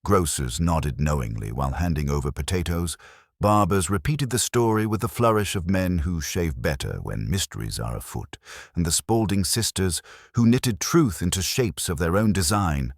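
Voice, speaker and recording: A man reading scripted literary mystery narration in a measured, wry storytelling manner. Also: deep voice, no room sound, clean studio sound. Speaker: narrator of a mystery audio drama.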